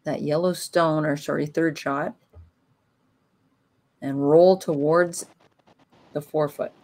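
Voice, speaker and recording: A woman giving calm, analytical live commentary on a curling match. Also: deep voice. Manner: calm, analytical play-by-play with pauses.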